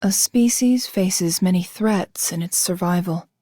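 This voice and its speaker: A woman voicing a cool, detached huntress leader in a game, with measured, clinical, formal speech. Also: fairly low pitch, warm tone, breathy edge, dry recording. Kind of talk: scripted game voice acting.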